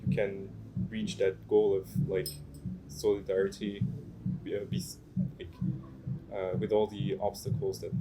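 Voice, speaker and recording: A man's voice: fairly low pitch, completely flat delivery, a little slow, dark tone, slight background noise, rough recording. A man interviewer asking a long question hesitantly, with many fillers.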